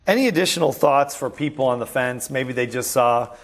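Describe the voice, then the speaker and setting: Man asking measured interview questions. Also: medium pitch.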